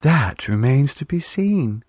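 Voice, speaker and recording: A man's voice: medium pitch, very animated, slow pace, a little mellow, no room sound, clean studio sound, pitched above the performer's own voice. A man voicing a self-assured, mischievous sixteen-year-old Edwardian girl who tells tall tales in a grand, breezy manner for a story reading. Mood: crushing dignity.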